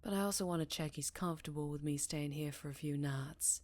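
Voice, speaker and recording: A woman playing a gentle, melancholy female vampire in an intimate ASMR roleplay, speaking softly in a Southern US accent, often near a whisper, with tender pauses and sighs. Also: low voice. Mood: uneasy, hushed. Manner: slow, hushed, affectionate, with pauses and sighs.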